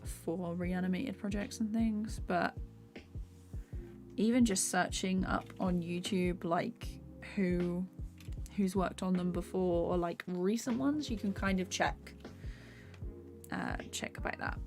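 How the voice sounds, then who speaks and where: Young female streamer, slightly hoarse, soft rambling chat over faint music. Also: on the low side, fairly even intonation, slow. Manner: rambling, filler-heavy, soft-spoken. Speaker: animator streaming while drawing. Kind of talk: casual live-stream chat while working. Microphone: desktop USB microphone. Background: faint background music.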